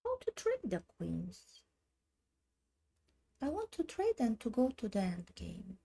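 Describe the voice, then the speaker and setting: A woman in her thirties from Armenia, who speaks Armenian natively, thinking aloud in a slow, halting way while she plays online chess. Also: a little high, wildly swooping pitch, vocal fry, warm tone. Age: 30s. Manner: slow, halting, muttering moves half to herself. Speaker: chess streamer.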